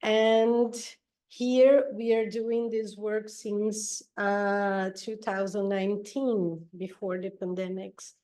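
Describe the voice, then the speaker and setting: A woman from Brazil with a Brazilian Portuguese accent, a native Portuguese speaker giving an online talk in a hesitant, slightly nervous way with many pauses and ums. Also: mid-range voice, flat delivery, mellow. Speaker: guest speaker. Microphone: video call.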